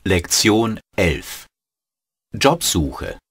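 A man announcing lesson titles in a language course, husky and deliberate. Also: deep voice, big pitch swings, fairly bright tone, no room sound, clean studio sound.

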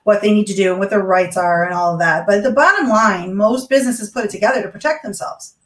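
A woman's voice: medium pitch, quick pace, a bit bright. A woman lawyer co-hosting a video call discussion, laying out a legal scenario and asking questions. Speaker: co-host, lawyer. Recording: echoey room on video call.

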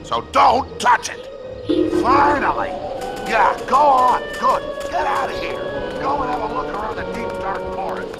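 An actor playing a cranky, bossy logging supervisor in a cartoon video game, irascible and given to shouting. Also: male voice, very high-pitched, expressive, measured pace, loud music behind the voice, exaggerated voice. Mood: irritated, bossy.

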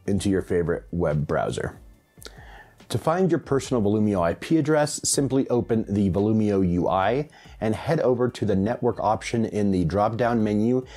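A man around his late thirties or forties, presenting a scripted audio tech tutorial with clearly enunciated, step-by-step delivery. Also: on the low side, mellow. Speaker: host of a hi-fi audio channel. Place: small home room, indoors.

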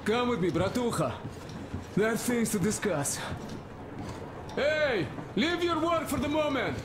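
A man playing a brisk, comradely soldier rallying his crew in a game. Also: very high voice, expressive, background noise. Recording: roomy echo, loud vehicle ambience.

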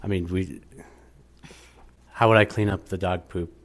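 A middle-aged business owner answering questions at a public meeting, defensive and quick to argue with figures. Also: male voice, low-pitched, steady tone. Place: town council meeting room, indoors. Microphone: desk microphone.

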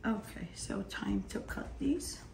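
A woman with a hoarse, partly lost voice, half whispering as she calmly talks through a cooking demonstration in her home kitchen. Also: medium pitch, takes it slowly, reverberant. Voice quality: whispery, breathy. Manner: calm step-by-step instructions. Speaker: home cook.

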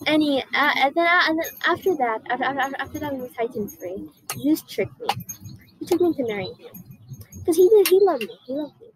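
A young girl playing an indignant, vengeful Greek goddess queen in a school monologue, haltingly and emphatically repeating her phrases. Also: high voice, animated, slight background noise, low-quality recording. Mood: recounting, flustered. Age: child or young teen student. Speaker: student performer. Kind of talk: costumed first-person school monologue. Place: outdoors under a wooden canopy.

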